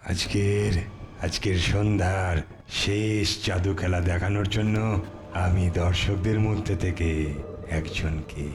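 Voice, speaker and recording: A man's voice: sits low, steady tone, slow pace, music in the background. An actor playing a sly showman magician in a cartoon, theatrical and repeating phrases for suspense. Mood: grandly teasing. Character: sly showman street magician, eager for coins. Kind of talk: cartoon voice acting.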